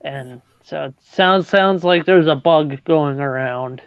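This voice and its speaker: A man's voice: on the high side, slow, clean tone. A man in his late twenties hosting a casual livestream chat, stumbling and repeating words and laughing often. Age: 20s. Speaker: livestream host. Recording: dull lo-fi, dry.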